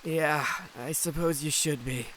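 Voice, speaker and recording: An actor playing a battered, stubbornly kind young would-be superhero in an audio drama, halting, understated and wry. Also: male voice, a little high, steady tone, fairly bright tone. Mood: pained, wry. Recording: hissy rain noise behind.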